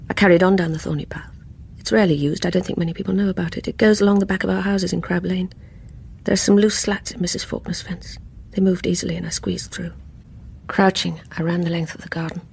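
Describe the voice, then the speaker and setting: An actress playing a guilt-ridden, menacing woman in a radio thriller, narrating and speaking in a hushed, intense, brooding way. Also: on the low side, creaky, a little hiss or hum. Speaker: narrator and lead character. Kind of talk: radio drama first-person narration and dialogue.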